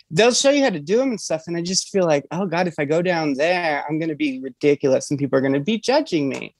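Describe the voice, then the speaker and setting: A woman's voice: on the low side, dry recording. A woman in her thirties asking for advice, hesitant and self-deprecating, laughing often as she talks. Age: 30s. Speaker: caller seeking advice. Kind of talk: casual chat.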